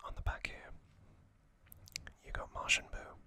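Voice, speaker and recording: An ASMR host whispering trivia, softly repeating single words as triggers and reading from a box cover. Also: unhurried, dry recording. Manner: reads aloud from a box cover.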